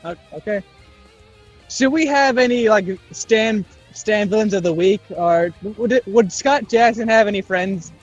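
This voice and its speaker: Young guy w a high voice, goofy sweary podcast banter, riffing stuff on the fly, music in the back. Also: unhurried, muffled recording. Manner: jokey, profane, acts out bits of dialogue. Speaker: podcast co-host. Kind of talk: casual improvised fan banter.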